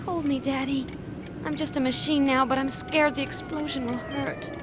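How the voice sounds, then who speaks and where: An actress voicing a sad, frightened young cyborg daughter in a video game, plaintive and childlike. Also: female voice, high voice, fairly even intonation, normal speed, close to an everyday voice. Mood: sad, scared. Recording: clear noise behind the voice.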